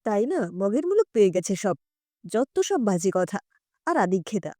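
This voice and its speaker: A woman's voice: mid-range voice, big pitch swings, normal speed, mellow, dry recording, clean studio sound, voice pushed down, natural voice. A woman voicing a harsh, sarcastic, domineering older father-in-law in an audio story. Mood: harsh sarcasm. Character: gruff, domineering older Bengali father-in-law, scornful patriarch.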